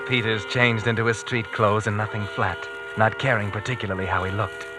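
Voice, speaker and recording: A man's voice: fairly low pitch, steady tone, fairly quick, loud music behind the voice, lightly acted. Ominous 1940s radio suspense narrator, played by a man. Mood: tense, driving. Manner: taunting, intimate second-person address. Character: ominous male narrator addressing the anxious man he follows. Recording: vintage 1940s radio recording.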